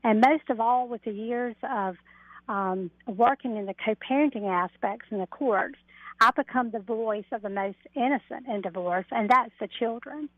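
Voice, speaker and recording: A woman's voice: fairly high pitch, normal speed, no echo. A middle-aged woman guest on a radio interview, explaining her work, heard over a narrow telephone line. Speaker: interview guest, mediator and coach. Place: phoned into a radio show.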